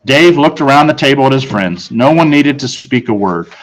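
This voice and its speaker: A man who is a teacher, narrating a children's book aloud with expressive storytelling. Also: mid-range voice, normal speed, poor audio.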